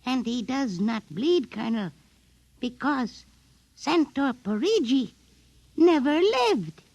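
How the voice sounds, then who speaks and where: Miniature alien commander doll, very high, slow measured delivery, haughty, menacing, 1950s radio drama. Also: female voice, very animated, creaky, darkish tone, no room sound, heavily acted. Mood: cold, imperious. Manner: haughty, precise, coldly menacing. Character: tiny imperious alien doll, commander of invading legions.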